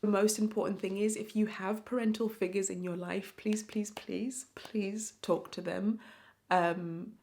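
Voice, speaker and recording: A woman's voice: mid-range voice, fairly even intonation, gravelly, darkish tone, slight background noise. A young woman, speaking to camera in an earnest, reflective personal vlog. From born in Zimbabwe, raised in South Africa. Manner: reflective, earnest, slow and measured. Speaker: vlogger. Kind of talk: personal confessional talk to camera.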